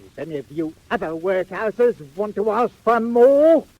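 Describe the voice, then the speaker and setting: An actor playing a pompous, bad-tempered, cruel workhouse master in a 1940s audio drama, with a rough Cockney-flavoured English accent. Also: male voice, sits high, lively intonation, moderate pace, warm tone, no echo, rough recording. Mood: angry, threatening. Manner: pompous, blustering, exclaiming.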